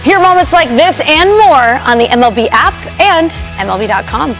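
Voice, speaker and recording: A woman reads a scripted radio promo in a high voice with very lively intonation. Faint music plays behind her. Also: moderate pace. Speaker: promo announcer.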